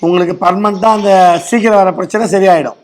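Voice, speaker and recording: A man's voice: high-pitched, fairly even intonation, quick pace. A man with a Tamil Nadu accent, a doctor advising a caller in a blunt, explanatory way during a phone-in consultation.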